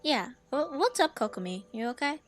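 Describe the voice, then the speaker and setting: A woman giving casual, reactive let's play commentary, joking, halting and emphatic as she goes. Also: high-pitched, sing-song. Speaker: gaming video host. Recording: faint game music behind the voice.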